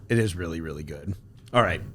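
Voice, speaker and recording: A man's voice: sits low, expressive, normal speed, a bit bright, slightly rough. A man hosting a food-tasting podcast in casual, joking conversation. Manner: joking, chatty. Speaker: podcast host.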